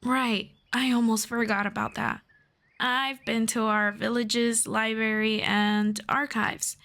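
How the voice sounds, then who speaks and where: Slow, gentle elf friend, played by a woman. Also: fairly high pitch, level tone, creaky, heavily acted. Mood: calm, confiding. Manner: soft-spoken, measured, unhurried. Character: gentle, earnest elf friend, long-lived and caring. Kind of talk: slow scripted ASMR roleplay.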